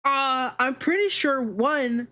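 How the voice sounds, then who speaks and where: A woman's voice: sits high, slow, pushed and bright. A woman streamer chatting casually and giving blunt opinions, swearing freely and repeating herself for emphasis. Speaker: livestreamer, VTuber host. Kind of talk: casual livestream chat.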